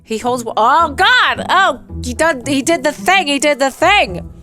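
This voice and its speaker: A woman chatting casually as a live streamer, talkative and rambling, with an expressive, playfully dramatic way of talking. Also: high-pitched, a little slow, faint music behind. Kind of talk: casual chatty livestream commentary.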